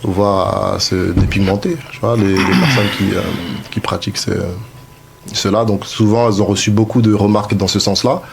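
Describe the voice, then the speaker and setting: A man's voice: fairly low pitch, normal speed, vocal fry, a little hiss or hum. A man hosting a casual discussion, hesitant, with frequent fillers and restarts. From France. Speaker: host.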